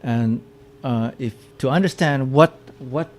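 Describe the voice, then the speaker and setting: A man speaking to a room at an ordinary middle pitch, talking through slides in a halting way. He often repeats a word or two before going on. Faint background noise sits under the recording. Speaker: lecturer. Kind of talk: public lecture with slides. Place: meeting room with audience.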